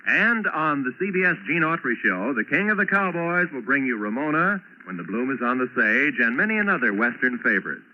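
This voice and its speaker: A man's voice: mid-range voice, fairly quick, few pauses. A male announcer giving a polished 1940s radio announcement. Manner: lively, polished announcer delivery. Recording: dull lo-fi 1940s radio with faint hiss.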